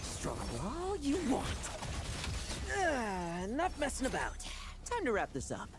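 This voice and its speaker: A woman's voice: on the high side, wildly swooping pitch, a little slow, background noise. A woman voicing a cocky young knight with a teasing, smug and playful menace in an anime video game. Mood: taunting.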